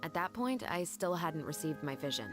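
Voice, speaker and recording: A lowish-pitched young woman officer from a video game, serious and quietly resolute. She speaks formally and with measured earnestness, with music behind her. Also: sing-song. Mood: solemn, earnest. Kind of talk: scripted video game dialogue.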